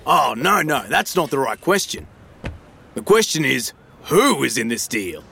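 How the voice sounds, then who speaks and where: An actor playing a slippery, matey Australian financial dealer in an audio drama, full of slangy, glib sales patter. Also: male voice, mid-range voice, very animated, a little slow, gravelly, bright, forceful tone, slight background noise, exaggerated voice. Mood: enthusiastic, selling.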